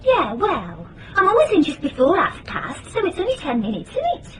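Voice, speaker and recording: An actress playing a fed-up, flighty young British office secretary in radio drama, breezy and petulant. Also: female voice, high-pitched, wildly swooping pitch, normal speed, a bit bright, a little hiss or hum, full character voice. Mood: breezy, defensive. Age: young adult.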